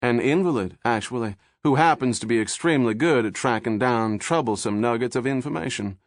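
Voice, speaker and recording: A man voicing a refined male agent who speaks in a measured, formal way. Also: medium pitch, dry recording, studio quality, pitched above the performer's own voice, natural voice. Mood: calm.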